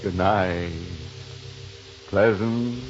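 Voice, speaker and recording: A man's voice: on the low side, extremely slow. Sardonic male horror host, ghoulishly jovial, theatrical, 1950s radio, muffled old recording, music underneath. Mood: sly sign-off.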